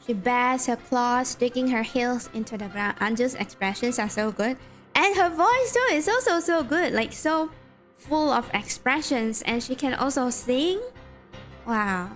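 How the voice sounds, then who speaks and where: A woman's voice: on the high side, animated, measured pace, faint music behind. A young woman with a Malaysian accent, streaming a game and chatting casually with her viewers while reading game text aloud.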